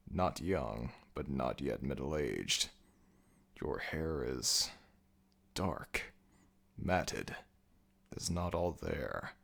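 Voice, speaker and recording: A very deep, gravelly jackal-headed god. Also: male voice, sharp tone, full character voice. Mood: probing, intrigued. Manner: slow, deliberate, formal, commanding speech. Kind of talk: ASMR audio roleplay, dramatic slow acting.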